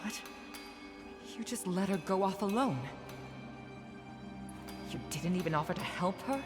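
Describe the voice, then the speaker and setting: A woman's voice: mid-range voice, animated, long reverb tail, music in the background, close to an everyday voice. An actress playing a worried female hero who speaks earnestly and bluntly. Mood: indignant. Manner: earnest, quick to indignation.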